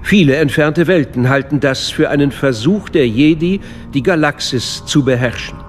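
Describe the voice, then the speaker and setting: A man's voice: fairly high pitch, soft background music, lightly acted. Suave, fatherly older chancellor played by a man. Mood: courtly, calculating. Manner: measured, courtly, unctuous. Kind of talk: German radio drama acting.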